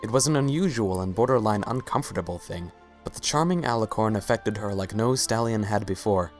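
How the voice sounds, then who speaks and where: A man's voice: lowish voice, a little fast. A man giving a scripted dramatic reading as the narrator of a story. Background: faint music.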